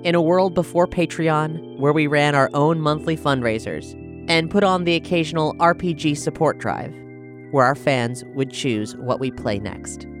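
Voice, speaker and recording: A man's voice: a little high, moderate pace. A man, the show's runner, narrating a scripted introduction in a reflective, wry way. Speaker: showrunner. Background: music score.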